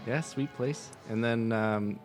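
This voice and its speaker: American male guitarist, medium pitch, informal podcast guest delivery with some joking. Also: takes it slowly, faint music behind. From United States, California and Florida. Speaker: band guitarist being interviewed. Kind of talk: casual, joking chat.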